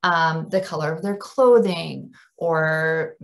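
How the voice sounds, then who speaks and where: A woman's voice: sits low, fairly even intonation, slow pace, creaky. A woman presenting an informal training workshop for teachers over a video call.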